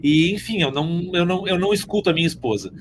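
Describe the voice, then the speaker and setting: A man's voice: high-pitched, fast talker, noisy background. A man chatting casually, rambling and joking as he goes.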